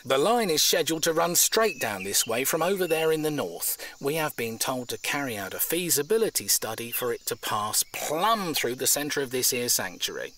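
An actor playing a blunt, smug railway surveyor in an audio drama, officious and dismissive. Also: male voice, medium pitch, big pitch swings, moderate pace, few pauses, fairly bright tone, slight background noise. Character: blunt, smug male railway surveyor defending his employer.

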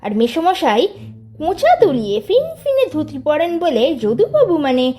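A woman's voice: high voice, sing-song, measured pace, dark tone, soft background music. A woman voicing a boastful teenage boy who leads his gang, spinning a tall tale in a teasing tone. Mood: scornful bragging. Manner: bragging, teasing storyteller tone. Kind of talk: storybook reading of a figure's tall tale.

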